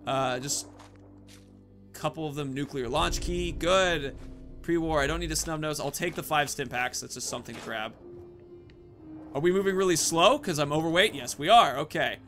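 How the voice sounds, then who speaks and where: Bright-voiced man doing gameplay commentary. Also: fairly high pitch, normal speed. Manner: thinks aloud, narrating own moves and choices. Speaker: gaming video host. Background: video game music.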